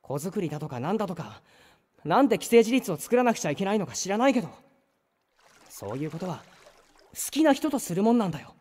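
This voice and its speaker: A woman's voice: medium pitch, big pitch swings, fast talker, long pauses, darkish tone, breathy edge. A woman playing a good-natured, easily flustered teenage boy in an anime audio drama, with casual boyish speech. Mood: exasperated, earnest.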